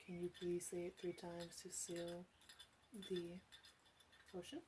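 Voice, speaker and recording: A woman playing a flirty, teasing witch in an ASMR roleplay, coaxing the listener softly with playful asides. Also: fairly low pitch, monotone, slow pace, background noise. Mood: coaxing, curious. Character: teasing, flirty witch in her three hundreds.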